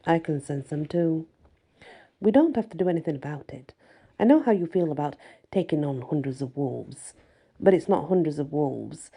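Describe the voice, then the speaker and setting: A woman voicing a young male werewolf pack alpha, a tender, teasing boyfriend who speaks low and soft. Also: lively intonation, normal speed, slightly rough, full character voice. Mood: calm, caring.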